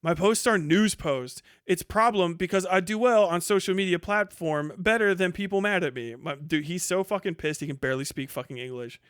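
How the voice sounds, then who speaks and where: A man's voice: sits high, fairly quick, few pauses, a bit bright, clear, resonant tone, no echo, clean studio sound. A man hosting a livestream with casual reaction commentary, talking and reading aloud in a blunt, mocking, profane way. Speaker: livestream host.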